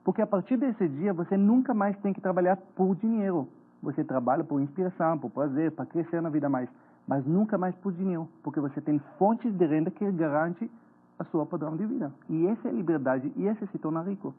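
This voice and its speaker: A man's voice: fairly high pitch, breathy edge. A man in his forties from Israel with an Israeli accent, earnestly explaining as a guest in a TV interview. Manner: earnest, explanatory, slightly halting. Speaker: guest, finance author. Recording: tinny phone-like broadcast sound.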